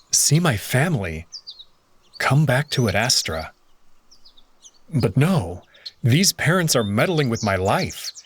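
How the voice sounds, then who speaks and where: A man narrating a visual novel in first person with an expressive, conversational delivery. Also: medium pitch, a little hiss or hum.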